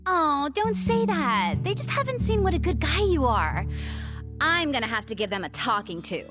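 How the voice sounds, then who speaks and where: A young woman with an American accent playing a bubbly, impulsive little sister in a dubbed video game, talking in an animated, playful, informal way. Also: high voice, normal speed. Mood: playful, confident. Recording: music behind the voice.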